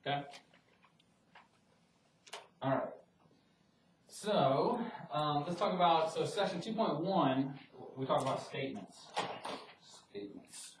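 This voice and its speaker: A man's voice: a little high, lively intonation, takes it slowly, pauses often, a little hiss or hum. Man lecturing math in a roomy classroom. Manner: conversational, many restarts and fillers. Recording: roomy classroom audio from a distant mic.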